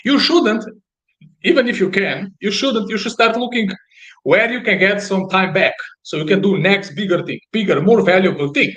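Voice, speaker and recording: A man with a high-pitched voice and a Slovenian accent from his native language. He explains business matters in a halting, conversational way, often repeating words to drive a point home. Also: a bit bright. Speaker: guest, business founder.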